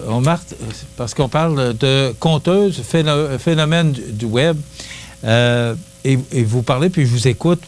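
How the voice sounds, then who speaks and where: A man hosting a local TV interview, talking in a halting way with many restarts. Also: medium pitch. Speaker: TV host.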